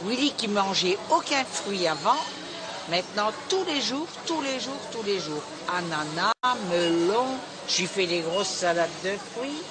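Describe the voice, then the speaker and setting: An older woman chatting casually for a documentary report, talkative and lively. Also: medium pitch, unhurried, a loud crowd. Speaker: retiree being interviewed. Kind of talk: casual chat.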